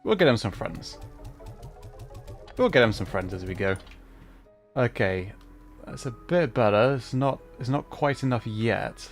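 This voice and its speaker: A man's voice: medium pitch, expressive, measured pace, pauses often, soft background music. A man casually chatting on a gaming livestream, thinking aloud in a hesitant way. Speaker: streamer. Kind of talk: casual livestream gameplay chat.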